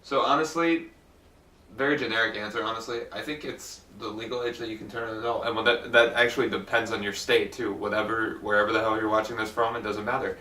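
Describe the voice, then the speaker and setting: A man's voice: on the low side, steady tone, fast, pushed and bright, roomy sound, distant mic. A young man in casual podcast chat, rambling and swearing freely. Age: young adult. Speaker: podcast guest.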